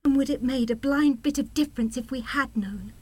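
A woman's voice: sits high, fairly quick, a little mellow. An actress in a radio play playing a bitter, fiercely protective young mother, indignant and cutting in her delivery. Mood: indignant, accusing.

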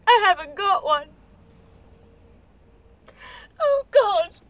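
A woman's voice: very high voice, very animated, a little slow, dry recording, heavily acted. An actress in a radio play voicing a wounded, sceptical young woman with a blunt, clipped way of answering. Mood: distraught. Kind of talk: naturalistic radio play acting.

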